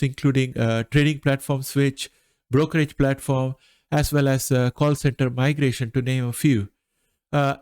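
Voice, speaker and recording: A man's voice: mid-range voice, a little mellow, no echo. Nasal middle-aged man, dry close sound. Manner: measured, frequent "uh" pauses. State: recovering from allergy. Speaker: finance channel host. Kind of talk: solo market commentary.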